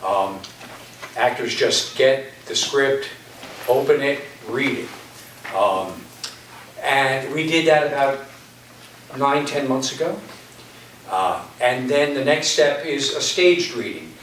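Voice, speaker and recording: A man with a mid-pitched voice gives an informal stage introduction. He talks in halting, anecdotal bursts with many pauses, picked up from a distance in an echoey, slightly noisy space. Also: fairly bright tone. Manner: halting, anecdotal, pausing often. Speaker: theater artistic director introducing a play. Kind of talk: informal live introduction speech. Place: community center stage. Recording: echoey hall, distant mic, background noise.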